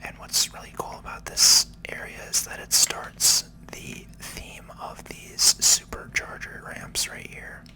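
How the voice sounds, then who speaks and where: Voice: unhurried, hardly any pauses, sharp tone, poor audio. Whispering ASMR gaming host. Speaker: host, gaming video creator. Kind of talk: whispered ASMR gameplay commentary.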